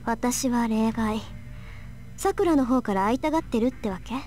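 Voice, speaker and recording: A woman playing a proud, sharp-tongued teenage girl mage in a Japanese visual novel, blunt and self-assured. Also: on the high side, expressive, a little fast, natural voice. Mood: cool, challenging. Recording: game dialogue over background music.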